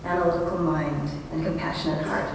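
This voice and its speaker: A woman giving a prepared memorial tribute and poetry reading with measured, solemn phrasing. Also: low voice, flat delivery. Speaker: college friend speaking at a memorial. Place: large echoing hall at a memorial service. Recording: very echoey hall, distant, noisy ambience.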